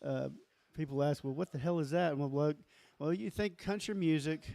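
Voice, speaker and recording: Halting male guest, warm dry voice. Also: creaky, no echo. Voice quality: lower register voice. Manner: halting, repeats words and restarts often. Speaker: musician and label founder guest. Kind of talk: casual interview chat.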